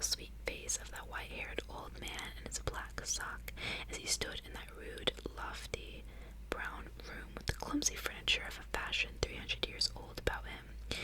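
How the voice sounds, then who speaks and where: A woman reading aloud in a soft, intimate, breathy whisper, close to the microphone in an ASMR style. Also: rough recording. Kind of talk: whispered ASMR audiobook reading with casual asides.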